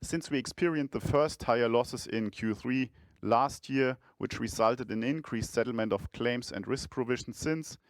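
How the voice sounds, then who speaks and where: Male CFO, mid-range voice, formal measured scripted delivery for an earnings call, dry recording. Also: mid-range voice, dry recording. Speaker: chief financial officer presenting results.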